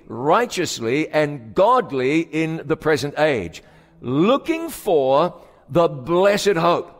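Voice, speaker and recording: A man preaching a sermon in slow, emphatic phrases with dramatic pauses. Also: on the high side. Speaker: pastor. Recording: long hall reverb.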